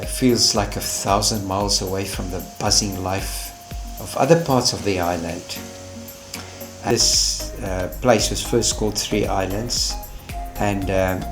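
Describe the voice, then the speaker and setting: A man in his fifties, an Afrikaans speaker, chatting unhurriedly about his watercolor painting, with plenty of fillers and restarts. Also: lowish voice. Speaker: watercolor artist. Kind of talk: relaxed travel and painting vlog. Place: living room, indoors.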